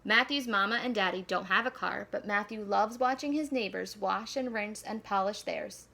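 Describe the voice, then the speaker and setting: A woman reading a children's storybook aloud in an animated, deliberate storyteller delivery. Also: mid-range voice, vocal fry. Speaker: school staff member reading aloud.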